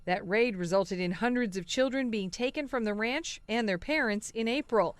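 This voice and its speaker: A woman's voice: a little high, normal speed, dry recording. A woman news reporter delivering a scripted voice-over.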